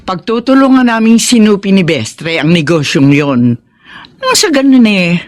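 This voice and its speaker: An actress playing a warm-hearted, middle-aged mother in a Filipino radio drama, chatty and motherly. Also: mid-range voice, sing-song, moderate pace, natural voice. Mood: friendly.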